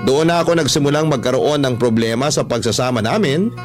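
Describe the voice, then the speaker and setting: A Filipino man narrates a scripted story in a mid-pitched voice. He reads it as a radio storyteller, with music underneath. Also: expressive, a little fast. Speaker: radio storyteller reading a letter. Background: background music.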